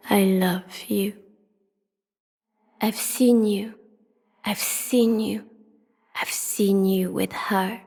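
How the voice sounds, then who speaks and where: A woman voicing an ancient, solemn city spirit in a video game, intimate and prophetic, in a grave breathy whisper. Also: medium pitch, darkish tone. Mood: commanding, intimate, then haunting, pleading. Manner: slow, incantatory, grave. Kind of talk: scripted video game voice acting. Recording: long cavernous reverb.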